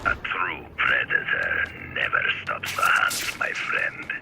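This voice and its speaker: An actor playing a calm, proud male big-game hunter in a video game, speaking slowly and solemnly. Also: extremely low pitch, creaky, very noisy, full character voice. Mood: cold. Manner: slow, measured, solemn. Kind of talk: video game cutscene acting.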